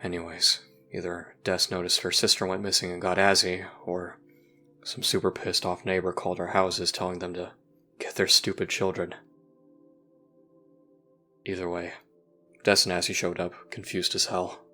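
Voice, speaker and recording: An actor playing a brooding, guilt-ridden young person recounting painful memories in a somber, halting audio drama monologue. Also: male voice, deep voice, monotone, bright, forceful tone, faint music behind. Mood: wry, subdued.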